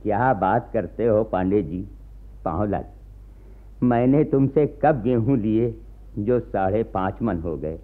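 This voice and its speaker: An actor playing a poor, humble Indian peasant farmer, with a rural Awadhi accent and a deferential, exclaiming manner, in a radio play. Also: male voice, fairly low pitch, animated, takes it slowly. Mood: shocked, protesting. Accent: Awadhi, rural north Indian. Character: poor, humble peasant farmer, anxious to please, scolding father. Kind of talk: radio drama acting. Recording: muffled, tinny archive radio recording.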